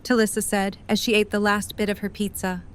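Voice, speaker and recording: Female story narrator, medium pitch. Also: flat delivery, brisk. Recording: faint background ambient noise.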